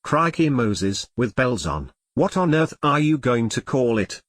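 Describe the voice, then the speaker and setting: A man's voice: mid-range voice, very animated, a little slow, dry recording, studio quality. A synthetic male text-to-speech voice playing a melodramatic, easily flustered computer turned elephant in an audio comedy drama. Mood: eager, whimsical. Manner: theatrical complaining in synthetic text-to-speech delivery.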